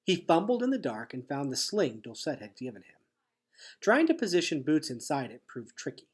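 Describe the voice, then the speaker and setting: A man with a husky voice reading a children's novel aloud as expressive storybook narration. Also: fairly high pitch, normal speed. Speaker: teacher reading a chapter aloud.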